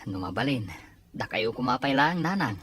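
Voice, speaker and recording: A woman plays a responsible, concerned family man in an audio drama. The voice is very deep and animated, over faint background noise. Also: brisk. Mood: matter-of-fact. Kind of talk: Ilocano audio drama acting.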